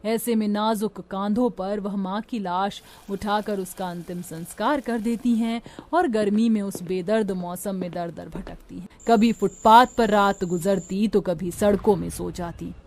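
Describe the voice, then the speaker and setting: A woman's voice: medium pitch, a little slow, few pauses, darkish tone. A woman narrating a scripted dramatic story as a storyteller.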